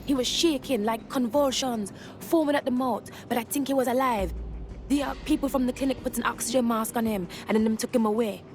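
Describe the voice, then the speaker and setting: An actress playing a frightened, wary woman held in a camp, speaking haltingly in a video game. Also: fairly high pitch, natural voice. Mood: fearful, wary. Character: frightened female camp detainee who witnessed an abduction. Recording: music behind.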